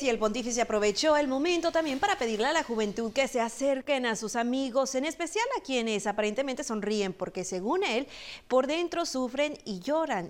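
A woman co-anchoring a news show, reporting and adding her own comments. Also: a little high.

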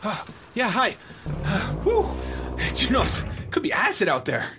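A woman's voice: medium pitch, wildly swooping pitch, moderate pace, music in the background. Woman doing a whiny dithering space crew slacker, stammers, really up and down, sci-fi audio comedy. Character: whiny, work-shy, anxious space crew member.

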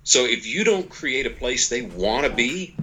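A man's voice: mid-range voice, big pitch swings, a little slow, sharp tone, a little hiss or hum, low-quality recording. A man in his forties speaking as a podcast guest, explaining ideas conversationally in a folksy, emphatic way with repetition and quoted asides. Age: 40s. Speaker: podcast guest, author and organization leader.

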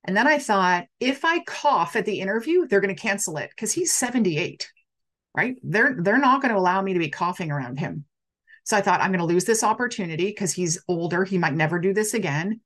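A middle-aged woman hosts a casual live stream, talking straight to her viewers in a chatty, animated way. Her voice sits at an ordinary middle pitch, and she reads out their comments as she goes. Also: fairly quick. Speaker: coach hosting a live stream.

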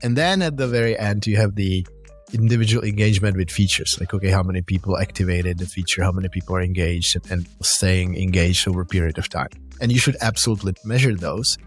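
A man's voice: fairly low pitch, husky. A middle-aged man with a Czech accent giving conversational, explanatory interview answers as a company founder. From Czech Republic. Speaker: startup founder and CEO, interview guest.

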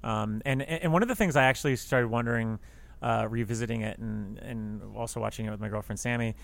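A man casually discussing a film in a hesitant, tentative way with frequent pauses. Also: mid-range voice, creaky, fairly bright tone. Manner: hesitant, tentative, pausing often. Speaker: podcast panelist.

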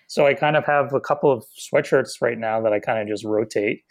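A man co-hosting a podcast in casual chat, low-key, hesitant and good-humored. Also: fairly quick, vocal fry. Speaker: podcast co-host.

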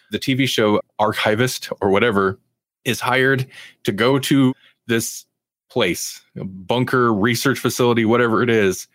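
A man with a fairly low voice co-hosts a casual review podcast. He talks in a rambling, conversational way, and the sound is dry with very little room in it. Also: fairly bright tone, dry recording. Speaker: podcast co-host. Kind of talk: casual review chat about TV.

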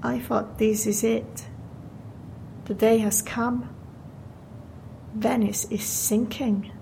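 A woman's voice: on the high side, flat delivery, slow, gravelly, mellow, slight background noise, low-quality recording. A woman playing a chatty, fatalistic Venetian waitress in an audio drama, speaking English with an Italian accent and non-native phrasing. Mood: fatalistic, reflective. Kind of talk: naturalistic audio drama acting.

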